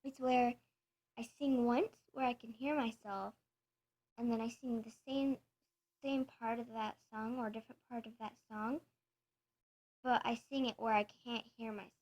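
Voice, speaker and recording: Soft-spoken, rambling woman vlogger. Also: fairly high pitch, steady tone, moderate pace, long pauses, muffled recording. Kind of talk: casual chat to camera.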